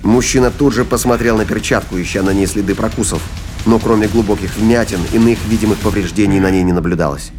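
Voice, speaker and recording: A man's voice: lowish voice, quick pace, music in the background. A man narrating a science-fiction thriller audio play as scripted storytelling.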